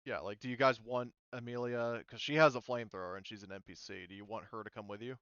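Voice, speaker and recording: A man acting as game master, casually refereeing a tabletop game and thinking aloud in a halting way. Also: fairly low pitch, steady tone, fairly bright tone, smooth, dry recording, muffled recording.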